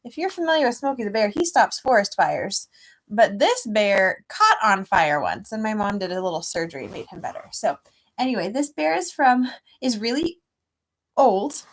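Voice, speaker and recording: A middle-aged woman speaking to children as an author at a read-aloud, explaining gently in simple words. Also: medium pitch, expressive, normal speed. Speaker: children's author reading own book aloud.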